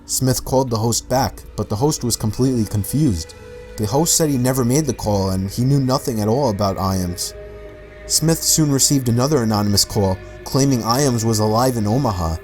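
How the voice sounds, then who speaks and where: A man's voice: mid-range voice, moderate pace, a little mellow, soft background music. A man delivering scripted documentary-style narration about real mysteries. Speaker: video narrator.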